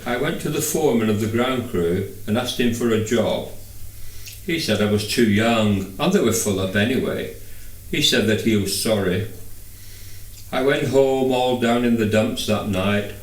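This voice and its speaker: A man's voice: mid-range voice, normal speed. A man reading a first-person novel aloud as scripted narration. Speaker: audiobook reader. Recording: echoey room, distant microphone.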